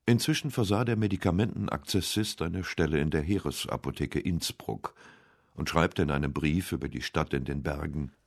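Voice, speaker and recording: A man narrating a scripted literary biography for radio in a measured, articulate way. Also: very deep voice, no room sound, clean studio sound.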